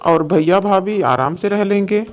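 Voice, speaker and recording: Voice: mid-range voice, a little slow, lightly acted. An actor playing a kind, agreeable young husband in a cartoon moral story. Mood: sympathetic. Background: background music.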